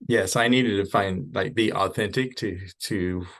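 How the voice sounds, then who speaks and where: A middle-aged man, a podcast guest, speaking in a measured, thoughtful and personal way in casual interview chat. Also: lowish voice, a bit bright.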